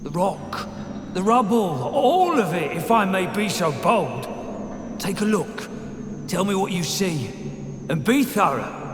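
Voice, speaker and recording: A man's voice: sits high, sing-song, slow, background noise, heavily acted. A man playing a weary old dwarf stonemason who speaks formally and courteously in a fantasy game. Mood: eager. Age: older. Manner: formal, musing, polite. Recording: echoey cavern with music behind.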